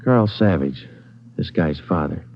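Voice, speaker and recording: A man's voice: low-pitched, animated, unhurried, gravelly, slight background noise, exaggerated voice. A man playing a dogged, businesslike American police detective in a radio drama, clipped and matter-of-fact in 1940s style. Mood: subdued, pensive. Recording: dull old radio recording, loud music.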